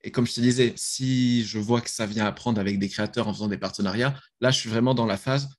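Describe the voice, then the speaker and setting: A man's voice: medium pitch, steady tone, fast talker. A young man from France, a guest on a video-call podcast, talking casually about his app with slang and restarts, recorded over a headset in a small bedroom. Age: young adult. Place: small bedroom with gaming chair.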